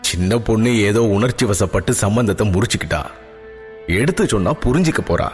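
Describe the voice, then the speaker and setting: A man doing a calm gentle father. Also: on the low side, fairly quick, in a large hall, soft background music, lightly acted. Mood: soothing. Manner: calm, soothing. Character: calm gentle middle-aged king father.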